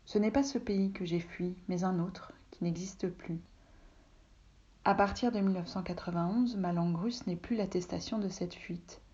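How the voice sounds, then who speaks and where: A woman from Russia whose first language is Russian, calmly reading a literary text aloud from her home office, often soft and breathy. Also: lowish voice, normal speed, highs cut off. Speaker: reader, translator.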